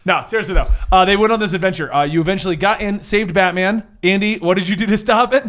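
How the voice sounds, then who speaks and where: A man speaking casually as host and game master, animated and jokey as he banters and recaps. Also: sits high, quick pace. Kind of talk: casual banter and recap for a tabletop gaming show.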